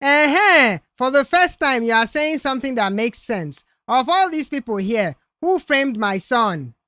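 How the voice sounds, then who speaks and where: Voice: high-pitched, sing-song, a little slow, bright, forceful tone, dry recording, voice pushed up, full character voice. A narrator voicing a brash, scornful middle-aged mother who scolds dramatically in an audiobook. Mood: scornful, triumphant.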